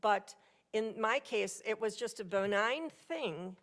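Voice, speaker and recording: Chatty woman with a loud voice. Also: mid-range voice, expressive, takes it slowly. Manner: chatty storytelling, self-described loud voice. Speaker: resident speaking at public hearing. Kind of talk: public comment at a council meeting. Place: council chambers at a podium. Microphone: podium microphone.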